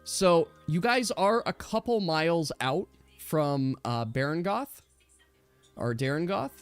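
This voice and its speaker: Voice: mid-range voice, animated, slow, smooth, faint music behind. A game master narrating a tabletop role-playing game in a casual, conversational way.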